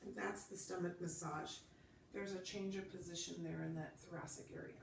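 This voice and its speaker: A woman with a stuffy head from a cold, calmly leading a Pilates class and cueing each movement while she demonstrates. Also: on the low side, normal speed, creaky, reverberant, recorded from a distance, background noise. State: congested from a cold. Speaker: Pilates instructor. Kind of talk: guided exercise class instruction.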